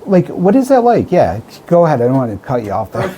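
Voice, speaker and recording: An American man interviewing as a radio host in a chatty, informal way. His voice is mellow with a very lively rise and fall, he sprinkles in "like" and "right", and there is faint noise in the background. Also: fairly high pitch, fast. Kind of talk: casual chat interview. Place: radio studio.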